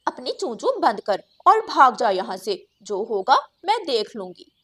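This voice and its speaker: A high, very animated cartoon crow wife, acted by a woman. She is brash and defiant, scolding and threatening anyone who crosses her. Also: a little slow, breathy edge, exaggerated voice.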